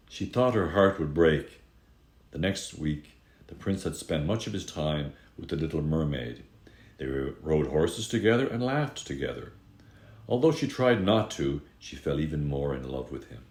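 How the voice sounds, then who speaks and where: A male storyteller with an extremely low voice reads a fairy tale aloud. His delivery is dramatic and expressive, stretching words for emphasis, and the room adds a clear echo. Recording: echoey room.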